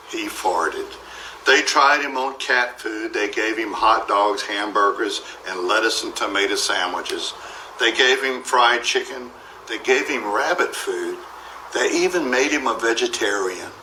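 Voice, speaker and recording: A man narrates a children's storybook with a bright, forward voice. He reads expressively and acts out the dialogue. The room adds a clear echo, and there is faint noise behind him. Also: on the low side, animated, a little slow, recorded from a distance. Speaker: storybook reader.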